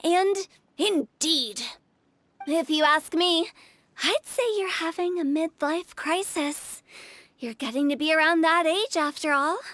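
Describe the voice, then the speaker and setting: A youthful, chattering girl from a fantasy game. Her voice is extremely high. She sounds fussy, teasing and expressive. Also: takes it slowly, no room sound, heavily acted. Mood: teasing, concerned. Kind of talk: game voice acting.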